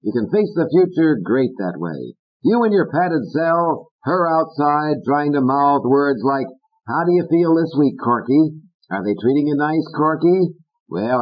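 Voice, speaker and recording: A man voicing a foul-mouthed, bullying male ventriloquist dummy in an audiobook, shrill and sneering. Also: expressive, unhurried, muffled recording. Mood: mocking. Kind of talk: audiobook character voice.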